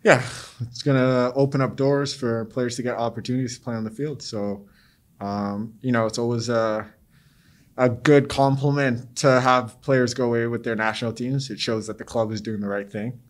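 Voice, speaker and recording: A young man, a pro soccer player, answering post-game press questions in a measured, steady way with frequent fillers. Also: mid-range voice. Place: press room before a sponsor backdrop.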